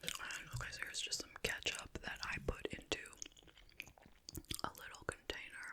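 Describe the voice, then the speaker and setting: A woman hosting ASMR, chatting to viewers in a soft, unhurried, conversational whisper. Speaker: ASMR YouTuber, host. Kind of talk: whispered ASMR mukbang chit chat.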